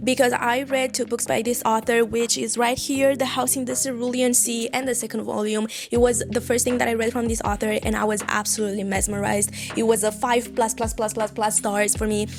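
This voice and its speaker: A woman's voice: a little high, flat delivery, brisk, hardly any pauses, soft background music. Chatty young woman, bookish vlog. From Eastern Europe. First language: Eastern European language. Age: 20s. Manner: chatty, rambling, self-deprecating. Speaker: book vlogger. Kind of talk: casual chat to camera. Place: home room with bookshelves.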